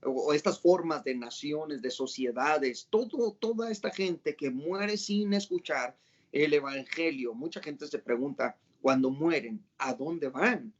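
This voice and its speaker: A man's voice: on the high side, expressive, unhurried, dull audio. A man hosting a casual religious interview, asking long questions that are full of fillers and rephrasing. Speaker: host and interviewer.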